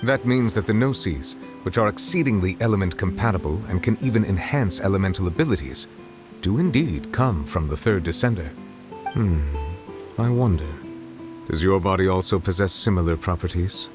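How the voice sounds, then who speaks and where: A solemn, courtly judge from a video game in a low register. He is reserved and formal, choosing each word with precision, over clear background music. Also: animated. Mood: thoughtful. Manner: measured, formal, precise diction. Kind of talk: video game character dialogue.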